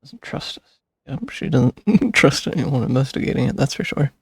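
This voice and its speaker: A man with a gravelly, husky voice giving casual, rambling gameplay commentary, muttering to himself on a close mic. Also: medium pitch, expressive, moderate pace, no room sound. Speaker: video game streamer. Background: game music.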